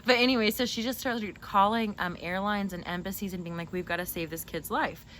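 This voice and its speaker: A woman's voice: medium pitch, fairly even intonation, brisk, fairly bright tone. A woman hosting a casual chat with her brother, telling stories in an informal, digressive and giggly way. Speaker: host interviewing her brother. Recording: clearly noisy background.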